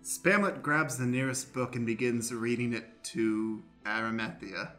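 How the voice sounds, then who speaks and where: A man's voice: mid-range voice, fairly even intonation, unhurried, bright, forceful tone. A man acting as stage manager, narrating scene directions for an improvised comedy radio play. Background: music.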